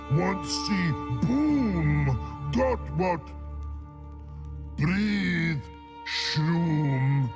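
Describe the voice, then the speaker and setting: An actor voicing a big, simple-hearted troll in a video game, speaking in halting, broken phrases with a gravelly voice. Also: male voice, a little high, heavily acted. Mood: sorrowful. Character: big slow-witted, simple-hearted male troll guard. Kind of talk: video game character acting.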